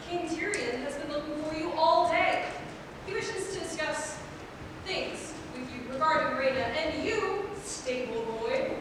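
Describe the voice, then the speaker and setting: Officious old royal advisor, male role, female actor, high voice, formal stage delivery, noisy echoing room. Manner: formal, scolding. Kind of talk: staged play reading. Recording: echoey hall, distant mic, background noise.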